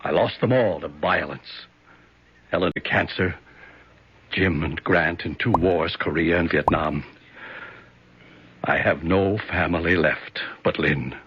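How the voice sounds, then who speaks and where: A man's voice: low-pitched, slow, pauses often, breathy edge, dry recording, heavily acted. An actor playing a stern, self-righteous older congressman and father in a radio drama. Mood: grave. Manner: commanding, blustering. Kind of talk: 1970s radio drama acting. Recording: dull old radio broadcast.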